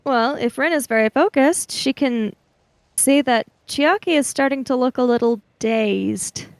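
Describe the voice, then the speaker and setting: A woman's voice: sits high, unhurried, dry recording. A woman playing a tabletop roleplaying game, speaking and narrating for her character. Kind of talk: roleplay with third-person narration.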